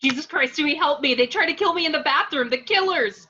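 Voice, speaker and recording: A woman's voice: sits high, fast, sharp tone. A woman playing a frightened young survivor in a horror script read at home. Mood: panicked. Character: frightened young female horror-film survivor under attack. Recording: dull home video-call audio.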